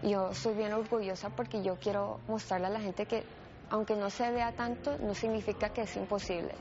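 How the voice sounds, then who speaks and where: A woman's voice: medium pitch, flat delivery, normal speed. A woman, speaking with enthusiasm and pride in an interview. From Uruguay. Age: 20s. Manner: enthusiastic, proud. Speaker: media specialist. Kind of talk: documentary interview. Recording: slightly dull audio with music. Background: music.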